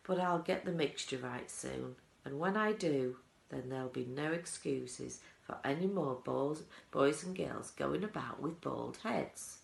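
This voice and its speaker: A woman voicing an excitable, bossy, eccentric male chocolate inventor from a children's storybook, speaking in exclamations and boasts. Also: extremely low pitch, creaky, exaggerated voice. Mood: boastful. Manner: exclamatory, hurried, boastful, scolding. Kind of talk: children's storybook character reading. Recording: roomy, echoing indoor sound.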